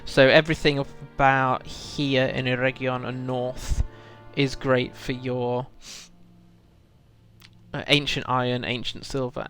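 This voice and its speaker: A man's voice: medium pitch, a bit bright. A man chatting casually as he hosts a game livestream, rambling and thinking aloud to his chat while a bit under the weather with a cold. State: feels full of cold, often clearing throat.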